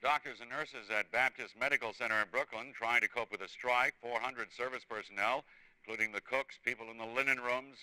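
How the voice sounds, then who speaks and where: A man's voice: mid-range voice, steady tone, fairly quick, pushed and bright, clear, resonant tone, no room sound, low-quality recording. A man reading a scripted broadcast news report on thin, radio-like archival audio.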